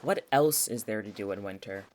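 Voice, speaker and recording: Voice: medium pitch, moderate pace, a little mellow, natural voice. An actor playing a cynical, blunt, sweary young college student in a naturalistic audio drama. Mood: deadpan, grumpy. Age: young adult. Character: cynical, sardonic young community college student in a strange town.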